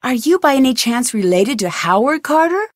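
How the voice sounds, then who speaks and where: A curious young detective for a mystery video game. Her voice sits fairly high and is recorded dry and close, with no room around it. She probes with steady questions and a wry, teasing wit. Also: normal speed, no room sound.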